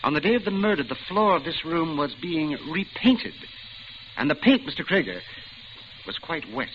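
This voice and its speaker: An actor playing a calm, shrewd judge in an old radio drama, speaking in a measured, hesitant way. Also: male voice, a little high, a little hiss or hum. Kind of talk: 1940s radio acting. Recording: dull old radio broadcast.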